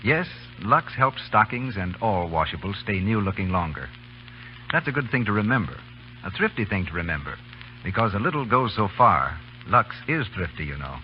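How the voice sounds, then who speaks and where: Animated low-voiced radio announcer, male. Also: moderate pace, faint music behind. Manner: persuasive, polished. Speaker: commercial announcer. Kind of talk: scripted 1930s-40s radio advertising. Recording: muffled old radio recording, faint hiss.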